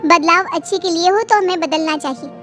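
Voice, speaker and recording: Woman doing a very high-voiced, defiant young city bride for an animated story, indignant and outspoken, faint music under. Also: a little fast. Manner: bold, indignant questioning.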